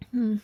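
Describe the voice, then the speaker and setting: A woman playing an anxious, apologetic vampire neighbor in an ASMR roleplay, soft and hesitant, often whispering, with politely formal wording. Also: fairly high pitch, husky, dry recording, heavily acted.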